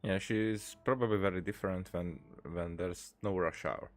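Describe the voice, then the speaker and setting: A man with a very deep voice comments casually on a video game. His asides are low-key and hesitant, with faint music playing behind him. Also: fairly even intonation, moderate pace. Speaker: gamer reacting to a playthrough.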